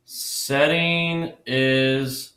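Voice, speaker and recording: A man's voice: mid-range voice, steady tone, very slow, pushed and bright, echoey room. A man playing a curious young student who hesitates and asks many questions.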